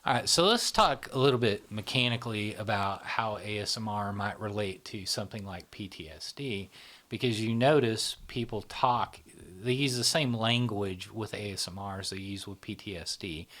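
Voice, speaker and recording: Low-voiced man explaining at ease. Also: normal speed. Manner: relaxed, explaining with many fillers and restarts. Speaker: channel founder explaining ASMR. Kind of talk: casual explanatory conversation.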